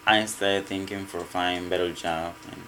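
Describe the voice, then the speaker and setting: A man with a Mexican Spanish accent, Spanish his first language, answering interview questions in halting English and often repeating words. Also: low-pitched, steady tone, background noise. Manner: halting, repeats words, non-native grammar. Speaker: restaurant cook. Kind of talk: casual interview.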